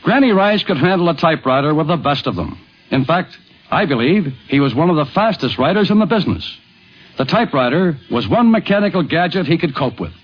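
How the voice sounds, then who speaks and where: Male documentary narrator, lively and fairly high-pitched, dull old radio sound with music. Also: a little fast. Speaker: documentary host. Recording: dull vintage radio recording.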